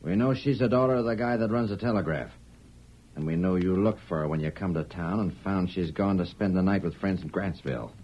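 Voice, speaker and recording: A man's voice: low-pitched, brisk, breathy edge. A man acting a menacing, bullying outlaw with folksy frontier grammar in an old radio western. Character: menacing male western outlaw, coolly threatening. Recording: muffled old radio drama recording.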